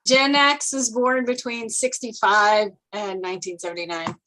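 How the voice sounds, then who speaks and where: A woman's voice: a little high, steady tone, moderate pace, bright, forceful tone, rough recording. A middle-aged woman chatting casually in a wry way, with some faint noise. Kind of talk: casual group chat.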